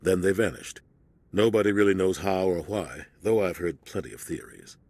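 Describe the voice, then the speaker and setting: An actor playing a serious male starship captain giving a steady, earnest briefing in a video game cutscene. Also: low-pitched, normal speed.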